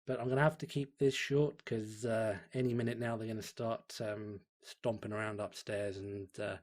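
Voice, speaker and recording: A man hosting a story channel, talking casually and earnestly to his viewers in a soft, hesitant way. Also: on the low side, little pitch movement, normal speed. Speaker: host, fan fiction reader. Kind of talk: casual outro chat to viewers.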